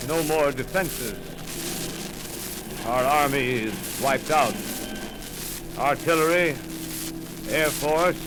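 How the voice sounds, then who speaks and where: An actor playing a male radio announcer on a rooftop, reporting as an eyewitness in halting, breathless bursts in 1930s radio drama style. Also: mid-range voice, background noise, exaggerated voice. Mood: grave. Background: warning bells ringing.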